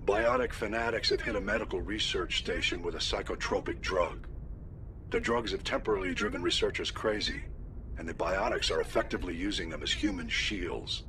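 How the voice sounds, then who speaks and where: A man's voice: low-pitched, fairly quick, bright, forceful tone, slight background noise. A man playing an authoritative older fleet admiral in a video game, grave and clipped in military fashion. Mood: grave, urgent. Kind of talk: video game dialogue acting.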